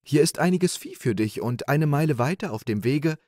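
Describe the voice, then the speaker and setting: A man voicing a gentle young maiden of the water in a storybook reading, in a high sweet lilt. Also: animated, normal speed, no echo, clean studio sound. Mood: kindly.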